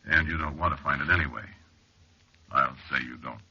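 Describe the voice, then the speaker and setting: An actor playing a wry, stubborn researcher, telling his story in a measured, conversational way on a 1940s radio drama. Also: rumbling low, creaky, over loud music. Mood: wry, confiding. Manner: conversational, measured, dry understatement. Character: male cliff-dwelling researcher, wry, confiding, stubbornly unafraid. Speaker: narrator and lead actor. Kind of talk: 1940s radio drama first-person narration. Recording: muffled, noisy vintage radio recording.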